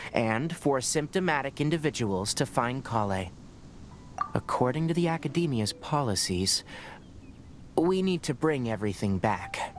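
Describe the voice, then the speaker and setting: A man voicing a calm, scholarly young forest ranger who speaks in a measured, explanatory, matter-of-fact way in a video game. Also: medium pitch, slight background noise, close to an everyday voice. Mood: brisk. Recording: game soundtrack behind. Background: game music.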